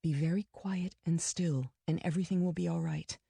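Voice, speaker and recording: A woman voicing a protective mother who speaks in a soft, reassuring way. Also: lowish voice, steady tone, moderate pace, creaky, breathy edge, dry recording. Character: loving mother, calm and protective.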